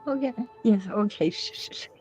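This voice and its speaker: A woman with a medium-pitched voice reads action directions from a script. Her delivery is loose and informal, with the odd stumble, and music plays behind her. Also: moderate pace, darkish tone, husky, poor audio. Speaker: co-reader of screenplay.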